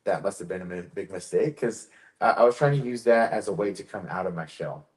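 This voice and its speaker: A man's voice: low-pitched, a little fast, darkish tone, reverberant. A man who acts, chatting casually and laughing often as he tells stories. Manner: laughs often, shy-sounding storyteller. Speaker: actor. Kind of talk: casual chat.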